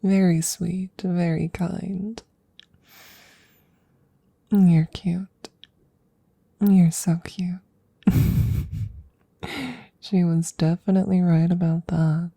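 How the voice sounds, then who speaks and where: A woman playing an affectionate, teasing witch in an ASMR audio roleplay, speaking softly and gently, often dropping into close whispers. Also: on the low side, level tone, full character voice. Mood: tender, doting. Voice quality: soft, breathy, husky, often dropping to whispers. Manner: gentle, unhurried, cooing, playfully teasing. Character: affectionate, teasing young witch doting on her werewolf familiar.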